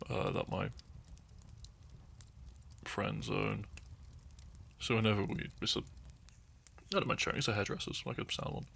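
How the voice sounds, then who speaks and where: A man's voice: lowish voice, gravelly, a bit bright, slight background noise. A young man talking to his listeners in a meandering, unscripted ramble. He laughs often, loses his thread, and sips wine as he goes. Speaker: audio content creator.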